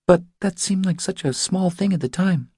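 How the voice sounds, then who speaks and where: A man's voice: high-pitched, moderate pace, mellow, husky, no room sound, studio quality, voice pushed up. A man voicing an anxious, stubborn woman who confides her troubles in an earnest, pleading way, for an audiobook. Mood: confiding, rueful. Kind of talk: audiobook character dialogue.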